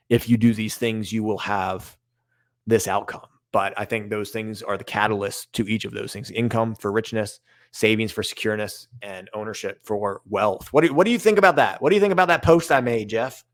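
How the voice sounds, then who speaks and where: A man co-hosting a podcast with a raspy voice, chatty and loose, repeating himself as he riffs. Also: on the low side. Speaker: podcast co-host. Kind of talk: casual podcast conversation.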